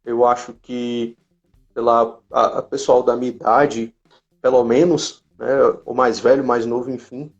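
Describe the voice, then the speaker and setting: A man with a Brazilian accent talking casually on a live stream, explaining things haltingly and repeating his words. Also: medium pitch, flat delivery, faint music behind. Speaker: company representative co-hosting a live stream. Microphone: live video call. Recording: dull lo-fi live-stream audio.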